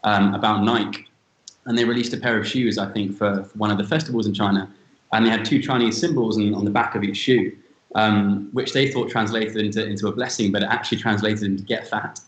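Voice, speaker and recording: Low-voiced man in an echoey room. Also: steady tone, fairly quick. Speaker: panelist. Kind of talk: online panel discussion.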